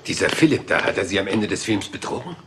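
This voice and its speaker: An actor playing a rumpled, persistent police inspector in a dubbed crime drama, polite and meandering. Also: male voice, low voice, sing-song, fast, noisy background.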